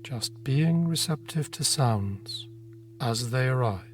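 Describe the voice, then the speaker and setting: A man narrating a guided meditation in a gentle, unhurried manner, leaving long pauses between phrases. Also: medium pitch, lively intonation. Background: meditation music with singing bowl tones.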